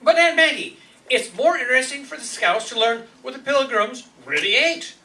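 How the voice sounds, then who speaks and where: Man as an eager, high-pitched earnest husband. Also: moderate pace, echoey room, full character voice. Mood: eager, pleased. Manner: eager, animated, polite. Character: earnest, self-pleased husband and amateur lecturer. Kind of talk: radio comedy play acting. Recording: noisy, distant stage microphone.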